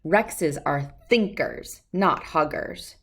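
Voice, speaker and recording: A thinker of a T. Rex father from a storybook, put on by a woman. He is pedantic and professorial, laying out his words slowly and deliberately. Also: deep voice, a bit bright.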